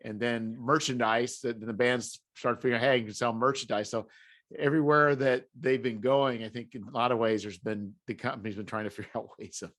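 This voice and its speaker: A man's voice: mid-range voice, steady tone, brisk, a bit bright. A man hosting a podcast in casual conversation, feeling his way through rambling, self-correcting sentences. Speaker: podcast host.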